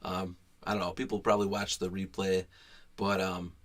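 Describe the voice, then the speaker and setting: A man's voice: deep voice, little pitch movement, normal speed, fairly bright tone. A man hosting a livestream, talking casually and hesitantly with many fillers and restarts. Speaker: livestream host. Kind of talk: casual unscripted livestream talk.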